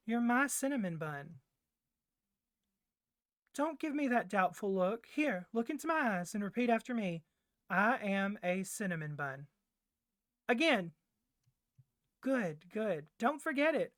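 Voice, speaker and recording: A woman voicing a doting, clingy young yandere boyfriend kidnapper in a soft ASMR roleplay, gushing and coaxing, with flustered hesitations. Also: mid-range voice, no echo, studio quality, natural voice.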